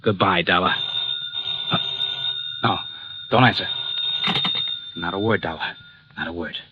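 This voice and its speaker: Tough old-radio gangster, low husky voice, curt threats, muffled recording. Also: male voice, a little slow, very noisy. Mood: menacing. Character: menacing escaped male convict holding a man at gunpoint. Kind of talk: 1950s radio crime drama acting.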